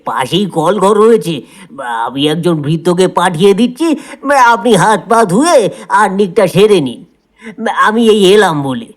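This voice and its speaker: A man's voice: high voice, animated, a little slow. A man playing an obliging estate steward in an audio horror drama, eager and respectful in manner. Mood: eager, deferential. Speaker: voice actor.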